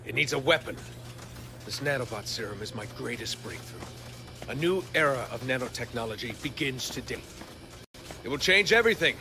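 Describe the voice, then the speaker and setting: A man's voice: mid-range voice, animated, brisk, over music, natural voice. A man playing a proud, megalomaniac scientist villain, declaiming grandly in a video game. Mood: grandiose.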